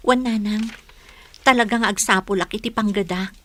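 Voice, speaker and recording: A woman's voice: mid-range voice, moderate pace, fairly bright tone, natural voice. A woman playing a meek, heartbroken young daughter in an Ilocano radio drama, speaking plaintively with an Ilocano accent.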